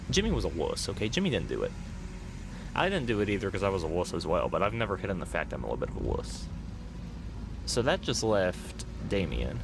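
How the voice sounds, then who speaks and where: A young man playing a playful, chatty boyfriend on a night drive in a soft-spoken, rambling, gently teasing ASMR roleplay. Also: fairly low pitch, background noise. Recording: music under the voice.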